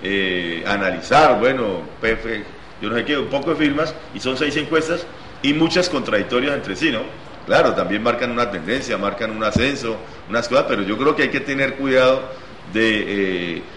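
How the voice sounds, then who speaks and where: A man's voice: medium pitch, moderate pace, a bit bright, echoey room, a little hiss or hum. A man arguing his point insistently on a televised panel debate. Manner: argumentative, insistent listing. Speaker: panel guest, political analyst.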